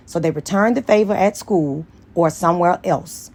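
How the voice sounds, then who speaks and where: A woman voicing a sassy, sharp-tongued young female school nurse in an audiobook, animated and slangy. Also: fairly low pitch, moderate pace. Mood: teasing, chatty. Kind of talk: dramatized audiobook dialogue.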